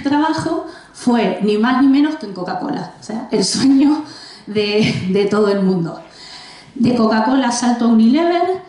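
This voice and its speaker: A woman's voice: medium pitch, darkish tone. A woman in her forties from Argentina, presenting her first book to an audience in a library, chatty with frequent restarts and asides. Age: 40s. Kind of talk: public book presentation talk. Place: public library room with audience. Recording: very echoey library room.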